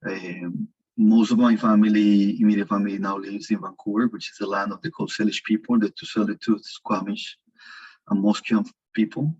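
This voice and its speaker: A man with a lowish, husky voice comes through on muffled, lo-fi video call audio. He speaks haltingly and searches for his words as he introduces himself. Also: steady tone, a little mellow. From Colombia. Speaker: nonprofit leadership developer.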